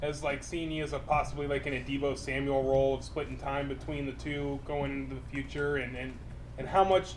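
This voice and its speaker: A man working as a reporter, asking press conference questions in a halting way. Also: mid-range voice, steady tone, rough recording. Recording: faint background noise on call.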